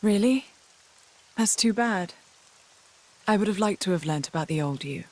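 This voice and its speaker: A woman's voice: medium pitch, expressive, normal speed, lightly acted. A woman playing a polite, curious companion in a video game, with a genteel, inquisitive manner. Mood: wistful curiosity.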